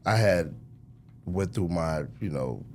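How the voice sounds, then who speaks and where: Middle-aged man, American accent, deep pitch, casual interview guest, slangy phrasing, noisy rumbling recording. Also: animated, slow pace, darkish tone. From United States, Chicago. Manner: conversational, slangy, frequent throat clearing.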